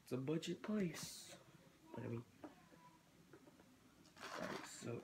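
A man's voice: a little high, expressive, slow pace, vocal fry. A teenage boy talking casually about his hobby while he works, stuffy with a cold and rambling as he thinks aloud. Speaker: reptile-keeping vlogger. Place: small bedroom, indoors. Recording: noisy home webcam recording.

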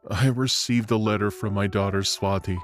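A man's voice: fairly low pitch, fairly even intonation, normal speed, soft background music, lightly acted. An actor playing a humble, sorrowful father who is wrongly imprisoned, in an audio drama.

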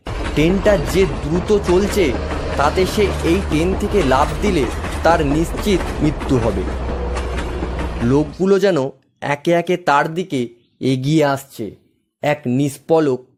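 A man's voice: fairly high pitch, breathy edge. A man giving scripted narration of a horror story as its storyteller.

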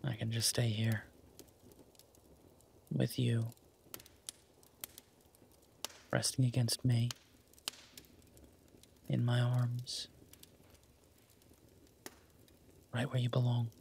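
A man playing a tender, teasing, easygoing werewolf boyfriend in an intimate romantic audio roleplay, murmuring softly with a husky voice. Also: mid-range voice, completely flat delivery, takes it slowly, long pauses, a little hiss or hum. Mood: tender, hushed.

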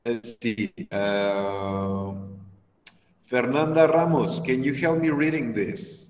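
A man's voice: mid-range voice, takes it slowly. Man, 30s, Mexican accent, encouraging teacher, video call, roomy, reverberant. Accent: Mexican Spanish. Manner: patient, encouraging, switches to Spanish to explain. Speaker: English teacher. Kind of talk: online English class. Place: home room on a video call.

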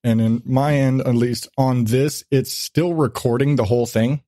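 A man's voice: mid-range voice, steady tone, normal speed, mellow, no room sound, studio quality. A man hosting a podcast in casual, profane chat, rambling along with irreverent, self-mocking asides. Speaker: podcast host.

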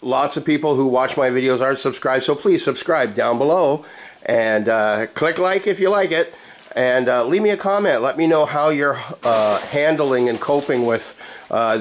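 A man in his fifties, chatting casually to camera from his apartment, relaxed and rambling with plenty of "uh" fillers. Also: medium pitch. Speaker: vlogger. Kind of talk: casual vlog chat. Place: apartment at home, indoors. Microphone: handheld camera mic, close.

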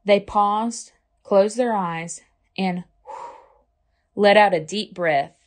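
Woman storyteller for children's picture book, mid-pitched, unhurried and deliberate, expressive phrasing. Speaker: library storytime reader. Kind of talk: scripted picture-book read-aloud for children.

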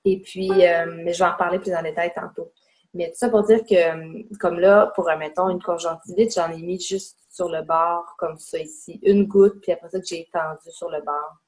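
A woman's voice: fairly low pitch, fairly even intonation, moderate pace, a little mellow, poor audio. A woman in her forties with a Québécois French accent, presenting a casual home webinar lesson and pointing out spots on herself as she explains. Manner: chatty, demonstrating on herself as she talks. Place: home room indoors. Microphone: webcam mic on a video call.

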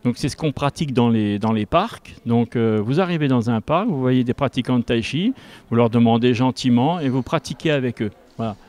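A man in his fifties speaking as an instructor in an interview, explaining step by step and haltingly into a handheld microphone. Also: medium pitch, darkish tone. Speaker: tai chi instructor. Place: large school gymnasium with a group behind. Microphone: handheld reporter microphone.

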